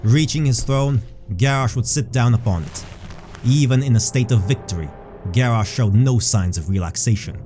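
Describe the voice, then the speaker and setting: A man narrating a scripted fantasy story as a measured storyteller. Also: medium pitch, warm tone. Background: background music.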